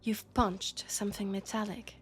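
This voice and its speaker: A woman with a French accent voicing a sharp, scolding but caring young policewoman in an intimate sci-fi roleplay audio drama. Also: mid-range voice, little pitch movement, moderate pace, faint music behind, lightly acted. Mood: probing, confident.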